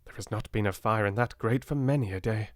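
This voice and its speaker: A man voicing a brisk, curious young male secretary in a storybook, an English gentleman. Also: on the low side, no room sound, studio quality, close to an everyday voice. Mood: indignant.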